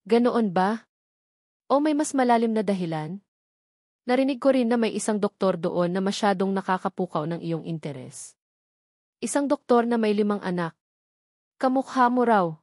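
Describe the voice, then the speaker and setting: A woman's voice: medium pitch, animated, normal speed, long pauses, darkish tone, no echo, clean studio sound, close to an everyday voice. A woman voicing a stern older wealthy father, suspicious, controlling and menacing, in a dramatic story narration. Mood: suspicious, threatening.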